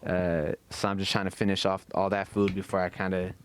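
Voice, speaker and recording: A man's voice: deep voice, monotone, brisk, slight background noise. A man talking casually as a podcast co-host, with slangy, profane speech and frequent "you know what I mean" tags.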